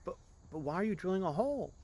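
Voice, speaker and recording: Worried storybook passenger, done by a male reader, pleading, raised pitch, loud and urgent. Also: very animated, fast talker, heavily acted. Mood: anxious. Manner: anxious, pleading. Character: frightened boat passengers, anxious and begging. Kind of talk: storybook character reading.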